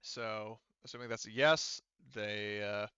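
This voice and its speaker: Male game master, crisp and forward, dry close sound, improvising casually in halting bursts. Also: fairly low pitch, fairly even intonation, pushed and bright, dry recording, muffled recording. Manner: halting, thinking aloud, casual swearing. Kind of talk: running a tabletop roleplaying game, casual improvised narration.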